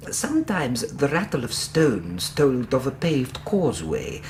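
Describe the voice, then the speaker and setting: An actor playing an anxious, well-spoken Victorian gentleman in a radio drama, formal and precise in manner. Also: medium pitch, big pitch swings, measured pace, a little hiss or hum. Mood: earnest recounting.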